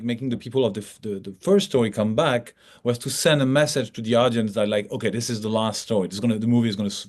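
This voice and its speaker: A man's voice: medium pitch, darkish tone. A man from France, a native French speaker, answering as a filmmaker in a casual, colloquial English interview with an explanatory manner. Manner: explanatory, colloquial, acts out hypothetical remarks.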